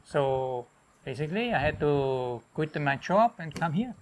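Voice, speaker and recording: A middle-aged man from Austria, a hotel owner, talking in a relaxed and rambling way with restarts while walking his jungle property. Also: a little high, measured pace, a little hiss or hum. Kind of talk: casual interview on a property tour.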